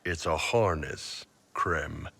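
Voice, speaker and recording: An actor playing a big, brash, easygoing horned mercenary warrior in a video game, with a deep, gravelly voice and blunt, casual talk. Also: male voice, takes it slowly, a bit bright, dry recording, heavily acted. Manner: blunt, jovial, casual, swearing.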